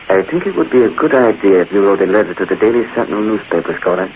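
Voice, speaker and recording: A man's voice: on the low side, lively intonation, a little fast, phone-quality sound. An actor playing a scheming male enemy agent with a German accent and formal, clipped phrasing, in 1940s radio acting. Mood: gloating. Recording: thin hissy 1940s radio broadcast.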